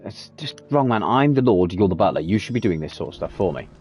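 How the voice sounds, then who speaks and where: Man, casual streamer chat, rambling, swearing, mellow husky voice. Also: on the low side, sing-song, fast, soft background music. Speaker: game streamer. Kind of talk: casual live commentary.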